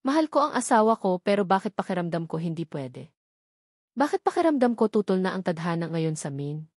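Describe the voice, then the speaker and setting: A woman's voice: lowish voice, very animated, a little fast, darkish tone, no echo, studio quality. A woman reading a romance novel aloud as a dramatic first-person narration. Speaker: audiobook narrator.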